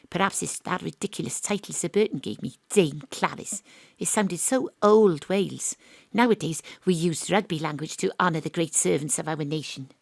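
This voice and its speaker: An actress playing a down-to-earth private detective, dry and sardonic, who also narrates. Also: female voice, fairly low pitch, vocal fry, no room sound. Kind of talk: audio drama acting with narration. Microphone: home studio microphone.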